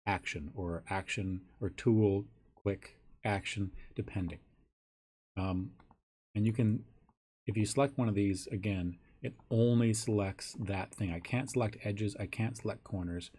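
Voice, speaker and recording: Man, raspy hoarse voice, lowish, casual tutorial, ums and restarts. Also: darkish tone. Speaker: teacher. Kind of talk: screen-recorded software tutorial.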